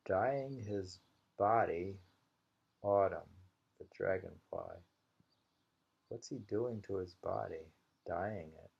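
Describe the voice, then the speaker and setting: A man reading short poems in an unhurried, halting way, repeating lines slowly and musing on them informally in a dull, lo-fi home recording. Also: sits low, gravelly, breathy edge. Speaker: reader of a poetry anthology.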